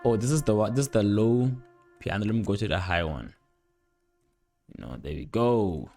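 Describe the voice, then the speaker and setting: A young man giving a casual, unscripted music production tutorial, rambling playfully in slangy speech with excited interjections. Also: fairly low pitch, moderate pace. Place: indoor home studio at a computer. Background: loud instrumental beat and instrument sounds playing.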